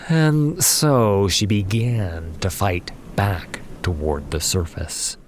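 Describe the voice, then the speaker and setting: Slow, low-voiced male fantasy narrator. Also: slight background noise. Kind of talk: dramatic scripted fantasy narration. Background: music.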